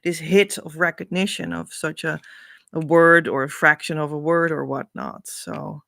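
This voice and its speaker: A woman with a Dutch accent, a spiritual coach thinking aloud as she reads meaning into letters, with many pauses and hesitations. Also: low voice, fairly even intonation, vocal fry, a bit bright, no echo, studio quality. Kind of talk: casual spiritual reading.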